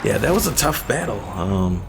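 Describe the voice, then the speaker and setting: Deep gravelly man streaming a game. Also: very animated, moderate pace, noisy background. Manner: chatty, easygoing, thinks aloud with fillers. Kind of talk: casual gameplay commentary. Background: game music.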